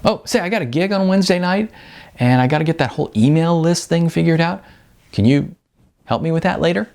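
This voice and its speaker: A man's voice: fairly high pitch, expressive, a little fast, creaky, darkish tone, voice pushed up. A man puts on an exuberant young indie rocker for an audiobook story. The voice swings widely and rises well above a plain reading, full of slangy, boastful swagger. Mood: upbeat, casual. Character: cocky, excitable young male indie rock musician.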